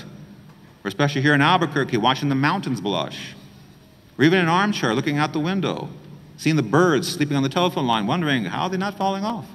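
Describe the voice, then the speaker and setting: A middle-aged man from New Mexico, a priest preaching a homily with gentle, expressive delivery and pauses, in a small chapel. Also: medium pitch. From New Mexico, USA. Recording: church reverb.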